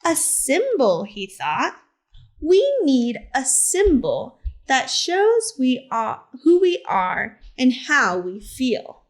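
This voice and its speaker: A woman reading a picture book aloud to children as a teacher librarian, in simple, deliberate phrases with short asides. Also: high-pitched, very animated. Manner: simple, deliberate phrasing addressed to young listeners.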